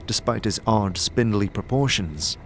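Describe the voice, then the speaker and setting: A man's voice: on the low side, expressive, a little slow, soft background music. A man delivering scripted second-person narration as the narrator of a fantasy story.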